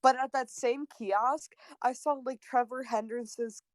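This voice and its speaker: Excitable woman in her twenties, dry sound. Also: on the high side, unhurried, no echo. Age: 20s. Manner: expressive, excitable, swears freely. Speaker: podcast co-host. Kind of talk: casual chat.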